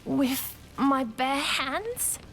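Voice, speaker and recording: A woman's voice: high voice, slow pace. A woman playing a meek, weary temple servant in a video game. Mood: meek, dismayed. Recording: distant voice under loud ambient noise.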